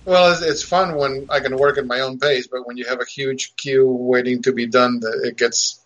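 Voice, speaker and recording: Argentine-raised male co-host, halting chatty delivery, muffled lo-fi sound. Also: mid-range voice, steady tone, a bit bright. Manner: halting, repeats words, chuckles. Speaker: radio co-host and maker. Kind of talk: casual chat. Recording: dull lo-fi sound.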